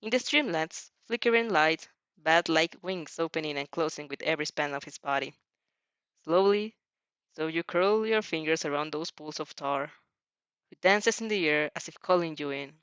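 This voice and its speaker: A woman reading fan fiction aloud as narrator, giggly and animated, often tripping over words and restarting. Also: low-pitched, normal speed, clear, resonant tone, no echo. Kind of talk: animated story reading with asides. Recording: dull lo-fi microphone.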